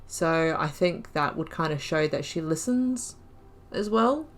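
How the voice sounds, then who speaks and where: A woman's voice: sits low, expressive, a little slow. A woman giving casual game commentary, thinking aloud with lots of fillers as she picks dialogue options. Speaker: gaming YouTuber. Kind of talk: casual let's play commentary.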